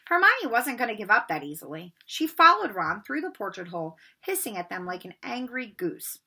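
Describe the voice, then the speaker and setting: Bright-voiced woman reading to pupils. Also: medium pitch, big pitch swings, moderate pace, husky. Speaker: teacher reading aloud for distance learning. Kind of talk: storybook read-aloud and casual talk to pupils. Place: bedroom at home. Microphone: laptop or webcam microphone at desk distance.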